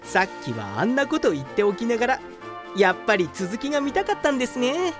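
High, animated, pompous young geek played by a woman. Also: fast, music in the background. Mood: smug, polite. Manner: polite, pompous, theatrical. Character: earnest delusional young male office geek, self-styled hero. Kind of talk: comedic radio drama acting.

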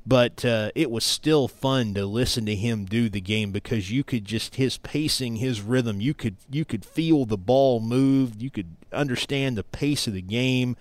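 A man's voice: medium pitch, measured pace, hardly any pauses, no room sound. A middle-aged American man from East Tennessee, a sports broadcaster talking as an interview guest in an earnest, emphatic storytelling way. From East Tennessee, United States. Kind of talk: conversational interview, reminiscing.

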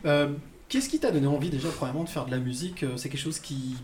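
A man's voice: a little high, fast talker, reverberant, background noise. Man hosting a chatty interview. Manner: hesitant, many fillers and restarts. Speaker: host. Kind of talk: casual interview chat. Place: apartment.

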